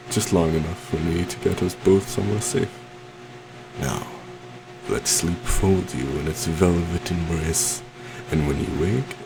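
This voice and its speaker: Man acting a suave deep-voiced, gravelly stage magician. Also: full character voice, rough recording. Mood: soothing, sinister. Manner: theatrical, courtly, soft coaxing with terms of endearment. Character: suave, possessive adult male stage magician, sweetly menacing. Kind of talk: ASMR roleplay acting.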